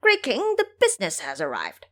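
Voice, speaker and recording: A woman's voice: mid-range voice, wildly swooping pitch, moderate pace. A woman voicing a small servant imp in an audio drama, reporting urgently and deferentially to its king.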